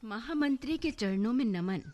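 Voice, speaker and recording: A woman's voice: fairly high pitch, animated, moderate pace, mellow, natural voice. An actress playing a modest young woman physician in a Hindi historical radio drama, deferential and hesitant.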